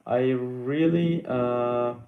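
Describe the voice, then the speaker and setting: A young man whose first language is Persian, hosting a casual live Q&A, reading out viewer questions and answering haltingly with many fillers. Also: medium pitch, roomy sound, phone-quality sound.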